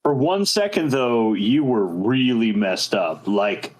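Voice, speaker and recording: A man's voice: medium pitch, expressive, slow, poor audio. A man talking casually as a player in a tabletop role-playing game.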